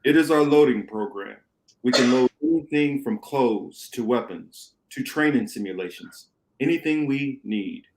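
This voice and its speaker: A man plays a calm, wise captain of a rebel ship in an amateur radio play. His delivery is measured and explanatory, in a mid-pitched male voice. The room around him is echoey. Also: natural voice. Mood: explanatory, assured.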